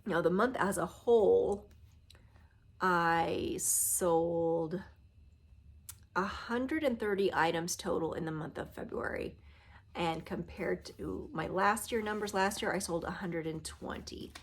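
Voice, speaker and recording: A middle-aged woman with a nasal voice from a cold, chatting casually to camera in her living room, trailing off softly at the ends of phrases. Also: lowish voice, slow, breathy edge. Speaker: reseller vlogger. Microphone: phone or camera close at arm's length.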